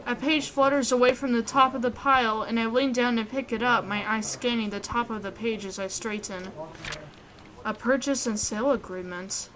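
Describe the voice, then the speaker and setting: A woman hosting a let's play, reading a visual novel aloud in an expressive way. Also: on the high side, normal speed, slight background noise, poor audio.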